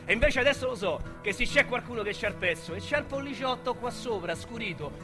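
An actor with a Roman accent playing a young, boastful, foul-mouthed street criminal in a radio drama monologue, with coarse slang and a swaggering delivery. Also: male voice, very high-pitched, lively intonation, fairly quick, music in the background. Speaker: narrator and lead character.

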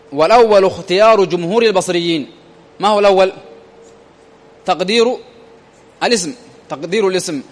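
A man's voice: high-pitched, long reverb tail. A man teaching a grammar lesson, repeating phrases and quizzing his listeners with rhetorical questions.

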